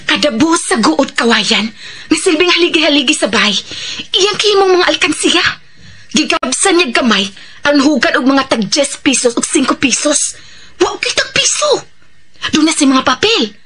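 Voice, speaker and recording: An actress with a Visayan Filipino accent playing a gossipy, greedy, giggly woman in a Bisaya radio drama. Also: high-pitched. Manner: animated, giggly, exclamatory. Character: gossipy, greedy, giddy woman scheming over money.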